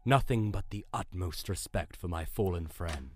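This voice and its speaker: A man playing a formal Victorian coroner in a radio drama, measured and soothing, with a British accent. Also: sits low, lightly acted. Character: soft-spoken, measured, secretive Victorian gentleman coroner.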